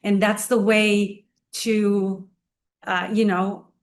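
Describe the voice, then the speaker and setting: A woman from Israel, a playwright and performer, telling her personal story in a sit-down interview in an earnest, measured way. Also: mid-range voice, level tone. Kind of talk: conversational interview.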